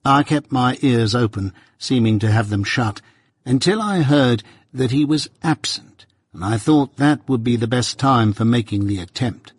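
A man reading aloud, voicing a middle-aged law clerk who explains himself slowly and carefully. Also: mid-range voice, expressive, husky, no echo, studio quality. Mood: earnest.

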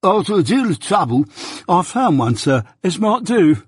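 A man voicing a nervous, slow-witted male petty crook who talks hesitantly and stuffily, as if he has a cold. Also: sits high, sing-song, slightly rough, no echo, clean studio sound, voice pushed up, exaggerated voice.

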